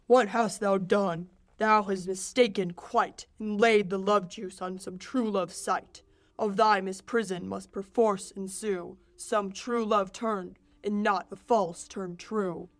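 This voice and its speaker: A woman's voice: medium pitch, fairly even intonation, slow. A teenage woman playing a stern, commanding fairy king, delivering verse imperiously in an amateur Shakespeare radio play. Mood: reproachful. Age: late teens.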